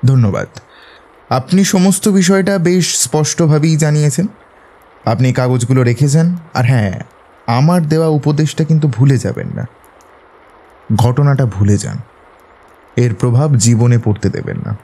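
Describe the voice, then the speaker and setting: An actor playing a confident, analytical detective in an audio drama, speaking in a measured, instructive way. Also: male voice, mid-range voice, mellow, natural voice. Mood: firm, reassuring. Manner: measured, authoritative, didactic.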